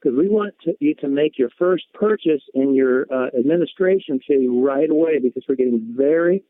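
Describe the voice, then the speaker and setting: A man's voice: fairly high pitch, moderate pace, no room sound. Salesman on a tinny phone line. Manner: folksy, repetitive, encouraging. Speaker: presenter on a business opportunity conference call. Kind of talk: informal sales pitch. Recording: muffled phone conference line.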